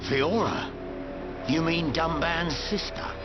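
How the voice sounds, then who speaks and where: An actor with a British accent playing a roguish older warrior in a video game, talking in a breezy, teasing, colloquial way. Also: male voice, fairly high pitch, slow pace. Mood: musing, wry. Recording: muffled game audio under loud music.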